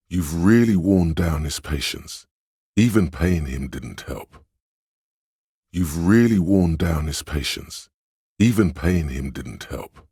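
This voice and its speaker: Suave inner voice of suggestion, bottomless bass, dry close sound, coaxing, knowing, video game narration. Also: male voice, no echo, exaggerated voice. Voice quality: very deep, husky. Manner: measured, knowing, gently coaxing. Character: inner voice of suggestion, a suave, insinuating male persuader. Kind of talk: scripted video game narration in second person.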